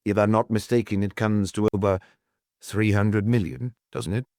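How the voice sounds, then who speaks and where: A man plays a calm male chief inspector in an audiobook. His voice is on the low side and very dry. He questions in a measured, courteous way, quietly persistent and probing. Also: no room sound, lightly acted. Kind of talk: audiobook character dialogue.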